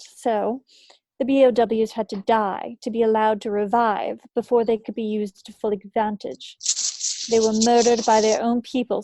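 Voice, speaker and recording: A woman at a script table read playing a bitter, grieving woman scientist, speaking gravely and deliberately. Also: a little high, dark tone, dry recording, lightly acted.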